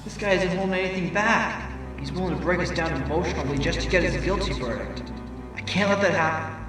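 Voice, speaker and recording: Man as determined fox defense attorney, fairly high voice, pointed arguing, courtroom drama, music behind. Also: a little fast, close to an everyday voice. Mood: determined, brooding. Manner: pointed, argumentative. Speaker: voice actor in fan audio drama. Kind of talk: courtroom drama acting with inner monologue. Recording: music clearly under the voice.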